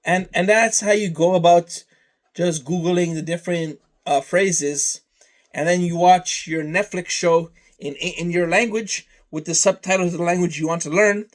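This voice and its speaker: A man whose first language is Serbian, talking slowly and rambling through a casual vlog, trailing off into long lists. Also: sits high, fairly even intonation. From Canada. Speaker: vlogger.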